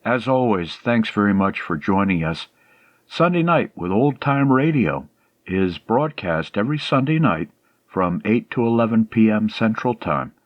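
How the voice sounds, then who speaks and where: A man's voice: lowish voice, very animated, unhurried, lo-fi sound. A man hosting a radio programme, speaking in a scripted presenter's style. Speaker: radio show host.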